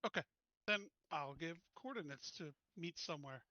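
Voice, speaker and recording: A man's voice: a little high, lively intonation, moderate pace, bright, forceful tone, no room sound, highs cut off. A man chatting casually as a player in a tabletop roleplaying game, talking through his choices.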